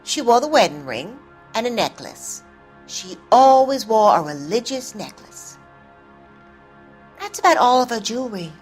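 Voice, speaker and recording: A woman's voice: on the high side, sing-song, measured pace, faint music behind. A woman playing a blunt, resentful witness in a 1940s detective video game, guarded and bitter in manner. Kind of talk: scripted dramatic game acting.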